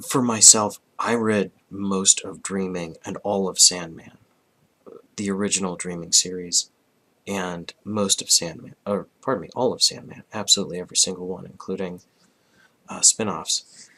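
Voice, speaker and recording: Hoarse man in his thirties, sick with a cold, low and bright-edged voice, rambling comic review. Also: fairly even intonation, normal speed. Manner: rambling, frequent restarts and throat clearing. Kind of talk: casual review.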